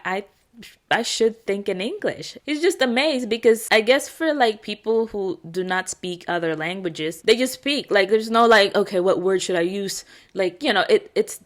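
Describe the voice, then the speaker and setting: A young woman from the Philippines with a Filipino accent, a Tagalog native speaker, chatting unscripted to camera in a rambling, restarting way. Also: medium pitch. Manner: chatty, restarts and "like" fillers, laughs often. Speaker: vlogger. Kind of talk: casual unscripted chat to camera.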